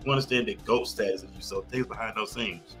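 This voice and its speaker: A man's voice: on the low side, steady tone, quick pace, a bit bright, soft background music. A man hosting a podcast in an enthusiastic, effusive way, with emphatic, repetitive phrasing. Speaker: podcast host.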